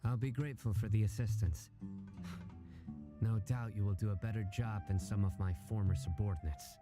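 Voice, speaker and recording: An actor playing a stern, solemn exiled general in a video game, speaking gravely and formally. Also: male voice, fairly low pitch, fairly even intonation, normal speed, over music, natural voice. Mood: businesslike. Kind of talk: video game character acting.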